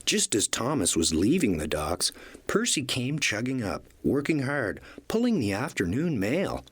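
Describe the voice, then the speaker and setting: A man reading a children's read-along story as narrator, in an expressive storytelling style. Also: medium pitch, unhurried, husky.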